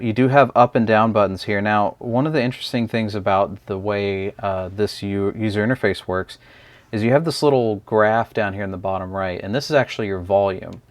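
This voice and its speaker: A man's voice: on the low side, no room sound. A man casually explaining a product in an unscripted, hesitant review, talking through it step by step as the host.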